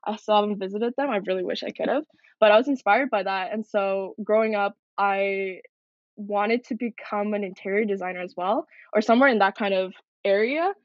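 A woman's voice: mid-range voice, brisk, no room sound, muffled recording. A young woman from Canada, a military cadet, answering interview questions in an earnest, reflective way. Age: young adult.

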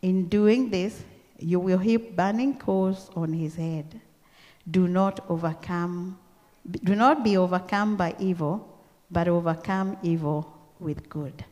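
A middle-aged woman with a Kenyan accent, preaching a church sermon in a slow, deliberate, earnest way. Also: fairly low pitch, warm tone, dull audio. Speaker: guest preacher. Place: large church hall. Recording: long church-hall reverb.

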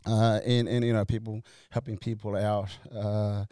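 Halting man, dark mellow voice. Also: lowish voice, little pitch movement, dry recording, clean studio sound. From Tonga. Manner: halting, many fillers and restarts, laughs easily. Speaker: guest, company co-founder. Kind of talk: casual interview chat.

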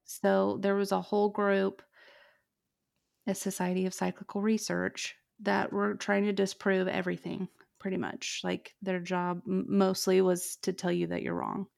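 A woman hosting a podcast, telling a true ghost story in a chatty way, with many "like"s and "um"s. She has a fairly deep voice. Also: completely flat delivery, a little slow, creaky, a little mellow. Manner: chatty, lots of "like" and "um". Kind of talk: casual storytelling chat.

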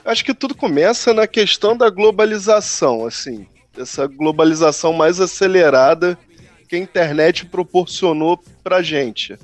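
High-voiced Brazilian man musing casually. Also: animated, moderate pace, no room sound. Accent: Brazilian Portuguese. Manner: reflective, explains with examples. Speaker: podcast co-host. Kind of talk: casual podcast discussion.

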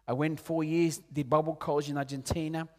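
A man in his late forties from Peru, preaching a sermon in an earnest way, rising loud on key points and dropping soft and low. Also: flat delivery, brisk. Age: 40s. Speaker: pastor.

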